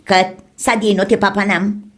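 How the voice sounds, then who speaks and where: A woman's voice: mid-range voice, level tone, normal speed, close to an everyday voice. A woman acting a cheeky, protesting young daughter in a comedy drama, with an Ilocano accent. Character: young daughter, cheeky, fashion-minded, eager to go out.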